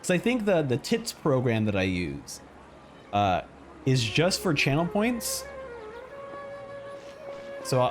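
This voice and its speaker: A man streaming a game, giving casual, rambling live reactions and commentary. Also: fairly high pitch.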